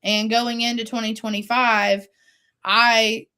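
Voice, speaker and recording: A woman in her forties talks through her story as an interview guest, earnest and reflective, often restarting a thought. Her voice is a little higher than most, and it is still recovering after she lost it. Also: flat delivery, takes it slowly, pushed and bright, smooth. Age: 40s. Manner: earnest, faith-driven, with laughs and restarts. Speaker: singer-songwriter guest.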